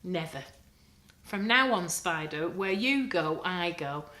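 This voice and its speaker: A woman's voice: on the low side, expressive, slow pace, sharp tone, full character voice. A woman voicing a timid, loyal little female Christmas decoration in a storybook reading. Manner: nervous, breathy whispering. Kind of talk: storybook read-aloud. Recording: roomy echo.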